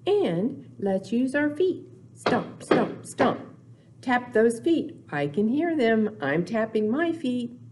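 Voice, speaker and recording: A woman's voice: on the high side, big pitch swings, takes it slowly, darkish tone, husky. An older woman presenting storytime for small children, talking and reading aloud in short, simple phrases with playful sound words. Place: small indoor room, seen seated before a wall.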